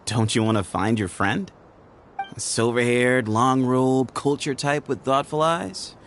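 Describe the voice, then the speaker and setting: A man's voice: medium pitch, steady tone, fairly bright tone, slight background noise. An actor playing a sly, teasing young man who leads a secret group in a game, speaking in a playful, mocking way. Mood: coaxing. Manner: playful, mocking, unhurried drawl. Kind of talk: game cutscene voice acting.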